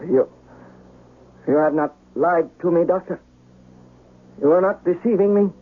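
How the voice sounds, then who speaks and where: An actor playing a proud, passionate young samurai in love, imperious and intense, in a radio drama. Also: male voice, high-pitched, unhurried. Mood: disbelieving, anguished. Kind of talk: old-time radio drama acting. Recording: tinny band-limited old radio recording.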